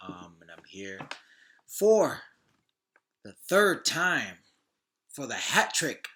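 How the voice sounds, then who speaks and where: A man's voice: mid-range voice, very animated, slow pace, sharp tone. A man hosting a podcast in casual chat, easygoing and informal, often repeating his words. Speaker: podcast host.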